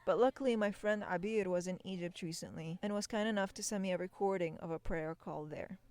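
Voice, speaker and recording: A woman hosting a podcast, reading scripted narration in a calm, explanatory manner on a close mic. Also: medium pitch, fairly quick. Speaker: podcast host.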